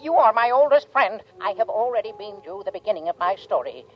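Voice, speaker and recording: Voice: medium pitch, big pitch swings, fairly quick, lightly acted. Actor as alien narrator, formal, declamatory, 1950s radio drama, dull old recording. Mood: earnest, formal. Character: alien storyteller, married, addressing an old friend, formal.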